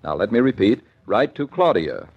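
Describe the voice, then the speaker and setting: An American man announcing in a polished, measured 1940s radio style, reading scripted sponsor copy. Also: lowish voice, sing-song, slightly rough. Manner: polished, measured sales delivery. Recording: dull old radio recording.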